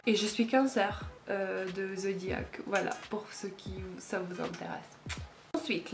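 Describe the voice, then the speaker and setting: A woman in her late twenties from Ukraine, speaking French with a Ukrainian accent, chatting casually to camera with many fillers and small slips. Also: medium pitch. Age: late 20s. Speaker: YouTuber. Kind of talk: casual Q&A vlog.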